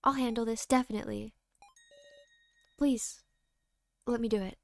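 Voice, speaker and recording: A woman's voice: fairly high pitch, steady tone, moderate pace, darkish tone, lightly acted. A woman voicing a wary, earnest and resolute teenage heroine in a game, questioning and determined.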